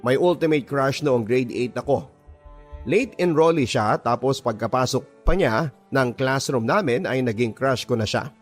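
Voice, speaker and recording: A man with a Filipino accent narrating a scripted first-person love story in an expressive radio storytelling style. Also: mid-range voice, a little slow. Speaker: radio drama narrator reading a listener's letter. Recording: voice over background music. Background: music.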